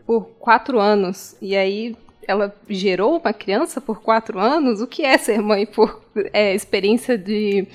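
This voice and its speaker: A woman's voice: medium pitch, measured pace. A Brazilian woman co-hosting a podcast, making casual, wry commentary in an informal conversational way. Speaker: podcast co-host.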